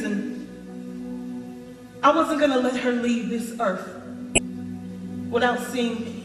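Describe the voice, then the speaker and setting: An actress playing a proud, wounded ex-girlfriend in a stage play, with an emphatic, theatrical delivery. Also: high-pitched. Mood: defensive, guilty. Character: proud, wounded ex-girlfriend, recovered addict turned businesswoman. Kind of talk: gospel stage play acting. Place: stage in a large hall. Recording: echoey theatre hall with music underneath.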